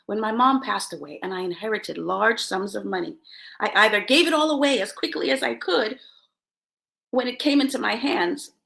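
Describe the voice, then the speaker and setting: A middle-aged woman hosting a self-help show, reading aloud and commenting in an emphatic motivational style with deliberate pauses. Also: medium pitch, creaky, a bit bright. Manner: emphatic, dramatic pauses, chuckles between phrases.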